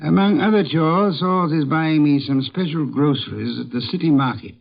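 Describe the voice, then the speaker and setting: An actor playing a pompous, dry detective boss who speaks formally and deliberately, in 1950s radio drama style. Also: male voice, fairly high pitch, vocal fry. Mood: testy, commanding. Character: gruff, pompous armchair detective, a male boss who loves beer. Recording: dull, muffled 1950s radio recording.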